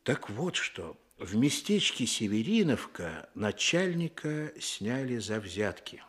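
An actor playing an earnest, fatherly Soviet police chief in a radio drama, coaxing and emphatic, with a husky voice. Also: medium pitch, very animated, slow, a bit bright, heavily acted. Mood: earnest, persuasive. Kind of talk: Russian radio drama acting.

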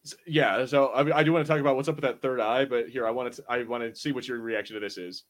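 A man co-hosting a podcast in casual review banter, telling things in slangy, animated fashion and acting out quotes. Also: mid-range voice, very fast. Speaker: podcast co-host.

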